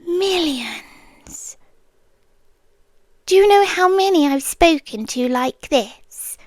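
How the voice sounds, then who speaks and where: A British woman voicing a possessive, obsessive female guardian angel in an ASMR roleplay, speaking softly and often in a whisper close to the mic. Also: sits high, expressive, takes it slowly, no echo. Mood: intense devotion. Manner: soft, intimate, often whispered.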